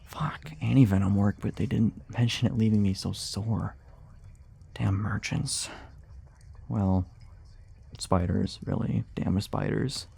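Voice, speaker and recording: A weary monster hunter from a fantasy ASMR roleplay, acted by a man, speaks in a dark, mellow voice with plenty of gravel. He takes his time, muttering and hesitating. He grumbles with dry sarcasm, guarded but willing to chat. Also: on the low side, fairly even intonation, takes it slowly, pauses often, exaggerated voice. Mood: weary, grumbling.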